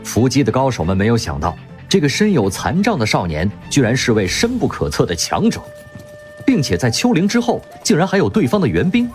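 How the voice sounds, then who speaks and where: A man narrating a radio drama in a vivid, expressive storytelling manner. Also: medium pitch, brisk. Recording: music underneath.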